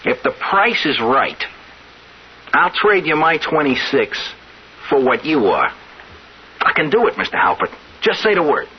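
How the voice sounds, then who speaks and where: A man's voice: on the high side, big pitch swings, unhurried, pauses often, husky, heavily acted. An actor playing an earnest, insistent young pool shark in his twenties, talking urgent street talk in old-time radio drama style. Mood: earnest, pushy. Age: 20s. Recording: dull, hissy old radio recording.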